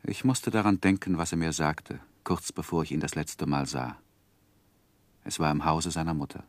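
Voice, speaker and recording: A deep-voiced, sorrowful gentleman looks back on his life. His delivery is measured and solemn, like literary radio drama, with a dry, close sound. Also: no echo. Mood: solemn grief. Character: grieving, reflective gentleman narrating his life story. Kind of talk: literary radio play narration.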